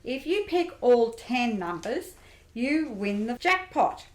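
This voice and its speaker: A streetwise young female grifter played by a woman with a highish voice in a roomy, echoey recording. She speaks candidly and plainly, like a resilient survivor in a radio play. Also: big pitch swings, slow pace. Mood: businesslike, keen.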